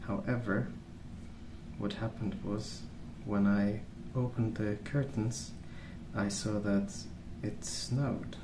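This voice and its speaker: A man rambling softly in an ASMR style, hushed, hesitant and unhurried. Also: fairly low pitch. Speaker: ASMR video host. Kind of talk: soft-spoken ASMR rambling. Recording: roomy, distant mic with clear noise.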